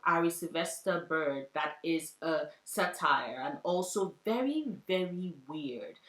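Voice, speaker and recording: A woman's voice: fairly low pitch, echoey room, rough recording. A young adult woman talking earnestly about books she loves, often repeating phrases for emphasis. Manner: earnest, heartfelt, with poetic repetition. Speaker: book vlogger. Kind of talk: book recommendation vlog.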